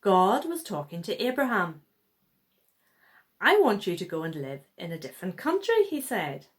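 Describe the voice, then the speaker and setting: A woman's voice: mid-range voice, very animated, normal speed. A woman reading a children's Bible story aloud, expressively and with acted-out dialogue.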